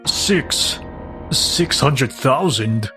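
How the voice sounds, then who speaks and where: A man's voice: mid-range voice, animated, extremely slow, music in the background. A man playing a controlling, scornful older husband in a manga dub, blustering as he speaks. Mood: shocked, indignant. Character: controlling, arrogant older husband, a retired teacher. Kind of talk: acted manga dub dialogue.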